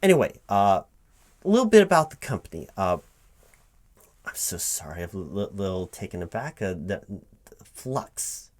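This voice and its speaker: A man's voice: low voice, very animated, normal speed, pauses often. A man playing an earnest, easily flustered corporate recruiting manager in a spoken ASMR roleplay, wordy and prone to stammering. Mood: flustered, delighted. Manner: rambling corporate jargon, hesitant and stammering when thrown. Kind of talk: spoken ASMR roleplay, one-sided job interview.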